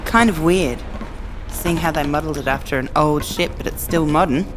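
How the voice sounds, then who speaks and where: A woman's voice: low-pitched, moderate pace, music in the background, natural voice. Actress as a feisty young female survivor. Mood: dismissive. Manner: sarcastic, curt, headstrong. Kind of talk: conversational audio drama acting.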